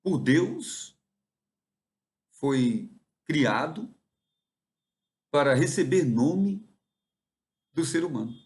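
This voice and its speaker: A man's voice: fairly high pitch, sing-song, darkish tone. A rambling, musing Brazilian man. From interior of São Paulo, Brazil. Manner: meandering, musing, often pausing mid-thought. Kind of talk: rambling reflective monologue.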